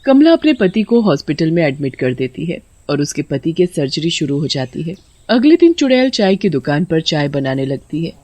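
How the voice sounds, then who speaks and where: A woman's voice: sits low, big pitch swings, normal speed, a little mellow, no echo. A woman narrating a scripted story as its storyteller.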